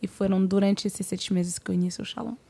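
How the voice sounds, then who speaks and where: A woman in her thirties from Montreal, a singer being interviewed, speaking in a soft, measured way. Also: medium pitch, steady tone, gravelly, slightly rough. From Montreal, Canada. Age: 30s. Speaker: guest singer. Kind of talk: interview conversation.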